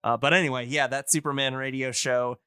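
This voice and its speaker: A man's voice: medium pitch, steady tone, brisk, pushed and bright, clean tone, dry recording, studio quality. A man hosting a casual podcast, explaining things in a rambling, nerdy, enthusiast way. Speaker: podcast host.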